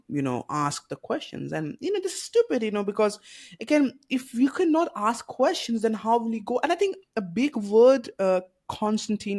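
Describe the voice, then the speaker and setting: Podcast host, earnest and opinionated, middle pitch, lots of "you know", rhetorical questions, casual talk. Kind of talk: casual conversational podcast chat.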